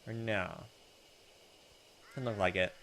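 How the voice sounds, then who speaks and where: A man's voice: on the low side, steady tone, slow pace, a bit bright, slight background noise. A young man reading a visual novel aloud, mixing dramatic narration with casual, informal commentary. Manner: reads in-game text dramatically, comments informally. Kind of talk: game narration with casual asides. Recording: music behind the voice. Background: video game music.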